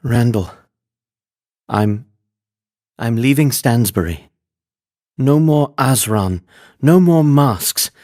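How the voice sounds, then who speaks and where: A man playing a soft-spoken, guilt-ridden young gentleman in a game cutscene, with a halting, solemn delivery. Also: mid-range voice, animated. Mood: grieving, subdued. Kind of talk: animated game cutscene acting. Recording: music behind the voice.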